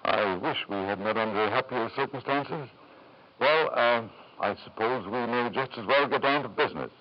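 A man's voice: mid-range voice, normal speed, natural voice. An actor playing a middle-aged lord in an old-time radio drama, urbane and insistent, hemming as he persuades. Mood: genial, uneasy. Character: smooth, domineering wealthy lord and father. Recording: muffled 1940s-50s radio recording.

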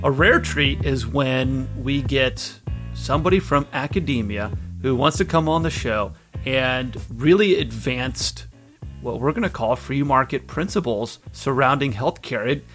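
A man's voice: medium pitch, unhurried, fairly bright tone, soft background music. A man hosting a podcast, talking casually and emphatically, repeating points to drive them home. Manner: repetitive, emphatic, enthusiastic. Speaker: podcast host. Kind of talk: casual interview talk.

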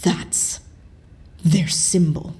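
A woman's voice: drawn-out words, dark tone, whispered. A woman playing a brusque, evasive CIA agent in an audio drama, with a dry, blunt delivery. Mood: deliberate.

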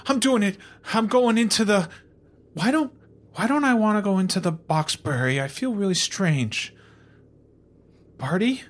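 A man's voice: high-pitched, lively intonation, a little slow, long pauses. A man playing an anxious, confused, well-meaning crewman in a sci-fi comedy drama, flustered and stammering. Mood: uneasy, dazed. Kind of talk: improvised dark comedy sci-fi audio drama.

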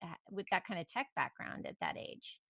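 A woman's voice: fairly low pitch, sing-song, normal speed, vocal fry, sharp tone, roomy sound. A woman hosting a casual interview, engaged and reacting with frequent exclamations.

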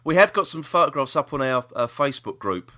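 A man's voice: mid-range voice, expressive, fairly quick, pushed and bright. A British man hosting a podcast in casual chat, hesitant and rambling, often repeating himself. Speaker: podcast host.

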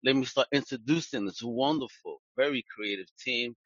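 A male podcast host who talks with a bright, forceful edge and slangy, excited energy, laughing between questions. The room sounds dry and close. The audio is a bit dull and lo-fi. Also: medium pitch, moderate pace, no echo. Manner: hyped-up, slangy, laughs a lot. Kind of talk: casual interview chat.

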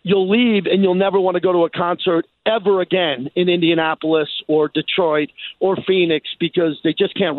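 High-pitched man on a phone-like line. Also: dry recording. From New York. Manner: emphatic, repeats phrases for effect. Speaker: sports radio host as guest. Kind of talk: animated sports talk interview. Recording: phone-like thin band.

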